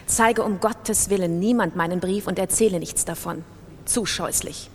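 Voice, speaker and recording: An actress reading aloud the pleading, despairing letter of a penniless writer in a radio drama. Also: female voice, fairly low pitch, moderate pace, slight background noise, close to an everyday voice. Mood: despondent, tender. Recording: long reverberant hall sound.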